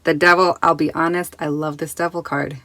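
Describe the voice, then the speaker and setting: A woman's voice: sits low, level tone, fairly quick, fairly bright tone. Chatty woman rambling in her closet. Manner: chatty, rambling with many restarts and fillers. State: recovering from losing her voice. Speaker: tarot deck reviewer. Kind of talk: casual chat. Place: quiet closet at home.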